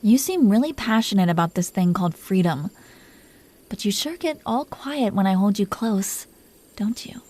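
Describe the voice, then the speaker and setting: Casting: woman as dragon queen for intimate audio roleplay. Dark, mellow tone; possessive, teasing, secretly tender, soft-spoken and coaxing. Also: medium pitch, expressive, moderate pace, close to an everyday voice. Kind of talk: intimate roleplay audio drama addressed to the listener.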